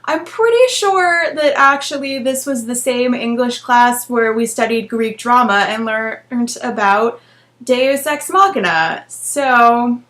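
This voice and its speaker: A woman reading fiction dramatically and adding deadpan, mocking commentary as a host. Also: on the high side, takes it slowly. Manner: deadpan, mocking asides at own writing. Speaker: book vlogger and host.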